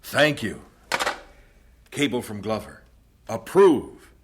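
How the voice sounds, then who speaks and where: An actor playing a patient, firm and devout missionary husband in a radio drama, speaking in a measured, earnest way. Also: male voice, lowish voice, sing-song, noisy background. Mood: brisk.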